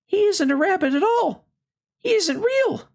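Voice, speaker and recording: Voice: extremely high pitch, animated, unhurried, creaky, a little mellow, voice pushed up, exaggerated voice. A storybook reader voicing a brash young male wild rabbit, blunt, boastful and teasing. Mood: disgusted, accusing.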